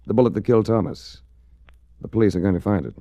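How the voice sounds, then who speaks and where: An actor playing a terse, controlled hard-boiled detective in a 1940s radio drama. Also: male voice, fairly low pitch, quick pace, warm tone, no room sound, lo-fi sound. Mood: grave, probing. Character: cool, hard-boiled male detective hero. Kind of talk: 1940s radio detective drama acting with first-person narration.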